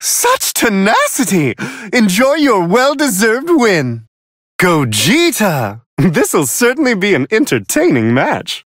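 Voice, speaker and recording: A high, fluting, genteel angel commentator. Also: male voice, wildly swooping pitch, slow pace, a bit bright, no room sound, studio quality, exaggerated voice. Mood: amused, composed. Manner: genteel, theatrical, courteous, wryly amused. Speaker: match commentator. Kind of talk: video game fight commentary.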